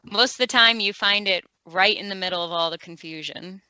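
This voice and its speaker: A woman's voice: mid-range voice, fairly quick, pushed and bright, smooth, dry recording, lo-fi sound. A woman playing a guarded, wistful lover with a dry, reflective way of talking at a table read. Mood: wistful, confiding. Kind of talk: script table read, drama.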